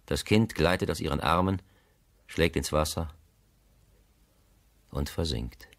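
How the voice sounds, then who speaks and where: An actor narrating a tragic radio play in short, grave dramatic phrases. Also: male voice, rumbling low, takes it slowly, no room sound, studio quality, heavily acted. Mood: grave, urgent.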